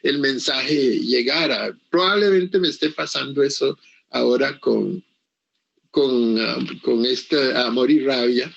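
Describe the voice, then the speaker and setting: An older man from Costa Rica whose first language is English, answering interview questions about his books slowly, with many pauses and restarts. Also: mid-range voice, gravelly, a bit bright, slightly rough, lo-fi sound. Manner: halting, full of pauses and restarts. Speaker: author being interviewed. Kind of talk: slow reflective interview answers.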